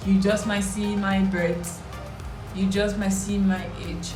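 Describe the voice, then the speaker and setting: A woman's voice: medium pitch, flat delivery, slow pace. A woman in her twenties from Lagos with a Nigerian accent, chatting casually and playfully to camera as she answers questions. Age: 20s. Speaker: vlogger. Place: small indoor room with bare walls. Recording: roomy echo, distant mic, background music.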